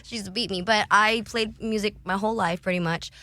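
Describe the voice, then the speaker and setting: A young woman with a bright, forceful tone tells stories in a loose, candid way. She is animated, swears freely and laughs often. The sound is dry and close, with almost no room around it. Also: medium pitch, brisk, no echo. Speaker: podcast guest. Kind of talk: candid casual storytelling.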